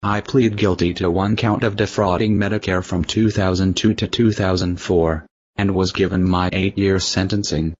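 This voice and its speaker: Male interviewee, deep voice, stiff recited answers, slightly muffled recording. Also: fairly even intonation, normal speed.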